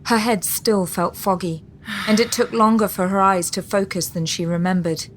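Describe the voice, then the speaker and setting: A woman narrating a scripted audio drama in a measured, solemn storytelling manner. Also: mid-range voice, steady tone, a little hiss or hum. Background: music.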